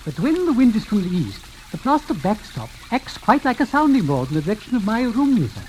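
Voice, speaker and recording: Casting: blackmailing servant, deferential and precise with a swooping melody, vintage radio drama, slightly noisy recording. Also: male voice, sits high, sing-song. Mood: slyly insinuating. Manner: formal, obsequious, measured hesitations. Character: deferential, sly blackmailing manservant, coolly polite. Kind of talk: 1940s radio acting.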